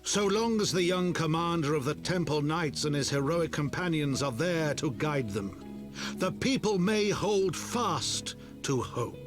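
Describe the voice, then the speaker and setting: An actor voicing a grand, solemn chronicler of heroic legend in scripted video game narration. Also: male voice, fairly high pitch, animated, a little slow. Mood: solemn, hopeful. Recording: music under the voice.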